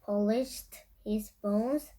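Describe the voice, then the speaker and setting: A child host reading a picture book aloud to viewers in a halting, deliberate way. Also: mid-range voice, warm tone. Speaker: storyteller and video host.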